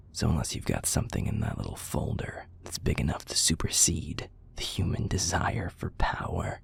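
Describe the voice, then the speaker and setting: Audio roleplay casting: male actor for a bitter, threatening werewolf pack enforcer, deep and extremely husky, profane and gruff. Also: full character voice. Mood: jaded, scornful. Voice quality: gravelly, husky, breathy. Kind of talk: scripted audio roleplay acting.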